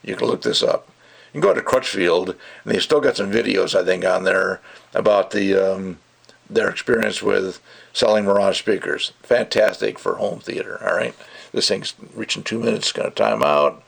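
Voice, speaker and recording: An older man with a gravelly, creaky voice giving a casual, rambling review in an opinionated, emphatic way. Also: lowish voice, moderate pace. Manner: opinionated, rambling, emphatic, acts out mocking quotes. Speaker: audio gear reviewer. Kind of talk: casual ranting video review.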